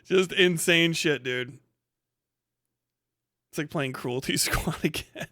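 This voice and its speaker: A man's voice: on the high side, slow pace, sharp tone, dry recording, clean studio sound. A man live streaming, chatting casually with slangy, profane, amused and irreverent reactions.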